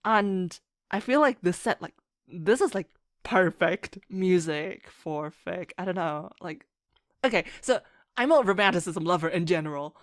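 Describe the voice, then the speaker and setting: A woman's voice: mid-range voice, no room sound, studio quality. A woman chatting casually as an interview guest, rambling enthusiastically and acting out her own thoughts as she goes. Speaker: podcast guest, fan fiction writer.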